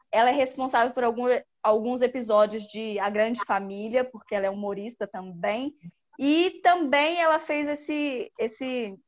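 A woman with a high voice recommends a book on a podcast, upbeat and eager. Her audio sounds a little dull and muffled. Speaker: podcast co-host.

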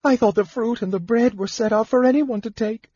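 A frightened, pampered young woman pleads through her tears in a storybook reading, voiced by a man. Her voice jumps very high above the reader's own. The sound is dull and dry, with a slightly husky edge. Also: brisk, mellow, no echo. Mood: tearful pleading. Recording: dull, dry, muffled audio.

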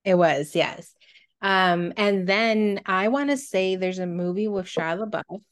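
A woman's voice: medium pitch, a little slow. A woman co-hosting a podcast in casual conversation, speaking informally and thinking aloud as she goes. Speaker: podcast co-host.

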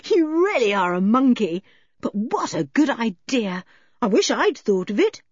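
Casting: responsible, determined boy for a children's storybook, read by a woman; slightly husky, plain-spoken, muffled sound. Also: medium pitch, big pitch swings, moderate pace, warm tone, no echo. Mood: delighted. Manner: earnest, decisive, plain-spoken. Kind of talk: audiobook character voice.

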